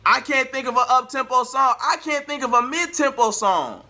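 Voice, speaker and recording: A man vlogging in a casual, colloquial storytelling style, animated and acting out bits of dialogue as he goes. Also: very high voice, normal speed. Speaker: vlogger and singer.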